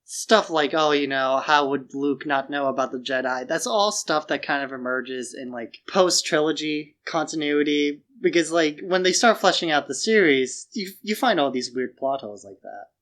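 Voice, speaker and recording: A man's voice: fairly high pitch, moderate pace, hardly any pauses, a bit bright. A man co-hosting a podcast in an informal, chatty way, often dropping "like" into his sentences. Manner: informal, peppers speech with "like". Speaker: podcast co-host. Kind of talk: casual chat.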